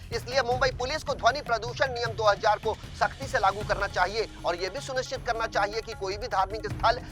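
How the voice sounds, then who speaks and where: A man's voice: high voice, a bit bright. A man reading a scripted news bulletin as anchor, formal and emphatic. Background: music bed.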